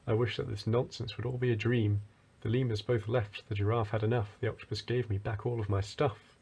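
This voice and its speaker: A man narrating a rhyming children's story as a storyteller, with a husky voice. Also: fairly low pitch, darkish tone.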